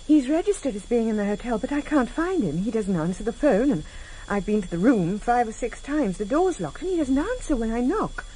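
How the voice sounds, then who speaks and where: An actress in a vintage radio drama playing a sharp-tongued, wary politician's wife, guarded and direct. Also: female voice, a little high, animated, fairly quick, natural voice. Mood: guarded, worried. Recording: slightly noisy vintage radio recording.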